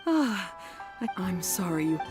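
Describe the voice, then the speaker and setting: An actress playing a distressed, apologetic wife in a video game, speaking in a troubled way. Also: female voice, fairly low pitch, very animated, measured pace. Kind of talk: video game character dialogue. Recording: game music behind voice.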